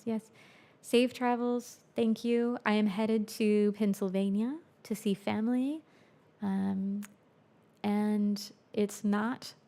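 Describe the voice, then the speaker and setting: A woman's voice: mid-range voice, dark tone. A young woman hosting a music livestream from her home studio, chatting casually with viewers and answering their chat, now and then in Spanish.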